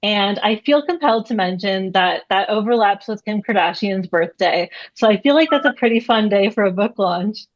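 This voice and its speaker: A woman's voice: medium pitch, expressive, moderate pace, hardly any pauses, muffled recording. A woman hosting an interview, asking upbeat, prepared-sounding questions. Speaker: host.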